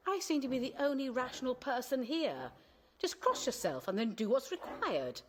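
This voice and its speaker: An actress playing a sensible, sharp-tongued middle-aged wife and mother in a radio play, brisk and chiding. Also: high voice, big pitch swings, noisy background. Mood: exasperated, firm.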